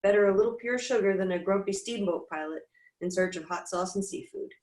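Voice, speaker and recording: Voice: medium pitch, brisk, darkish tone, a little hiss or hum. A workshop participant reading a short piece of fiction aloud. The voice sits in a noticeably roomy, echoey space and reaches the others over a video call.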